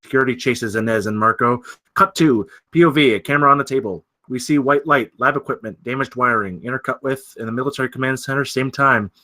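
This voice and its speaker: A man's voice: mid-range voice, fast, bright, forceful tone, rough recording. A man narrating screenplay stage directions in a dramatic, scripted style at a table read.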